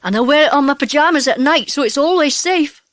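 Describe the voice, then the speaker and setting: A woman voicing an eager, bossy schoolboy in a children's audiobook, with a brisk, boyish, emphatic delivery. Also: high voice, no room sound, clean studio sound, close to an everyday voice. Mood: excited, insistent. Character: eager, bossy schoolboy Peter and his boy pals. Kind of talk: children's audiobook character acting.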